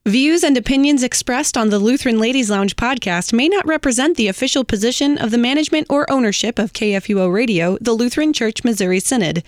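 A woman with a fairly high voice hosts a podcast in a dry, close recording. She talks casually and playfully, as if wrapping up a show among friends. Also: fast, hardly any pauses, no echo. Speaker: podcast host. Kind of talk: casual chat and show wrap-up.

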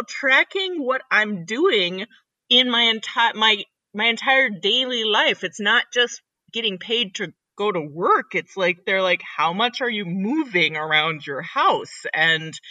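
Bright, crisp-voiced chatty woman. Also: mid-range voice, no room sound, rough recording. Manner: chatty, acts out her own reactions in quoted voices. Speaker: podcast co-host. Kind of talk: casual chat.

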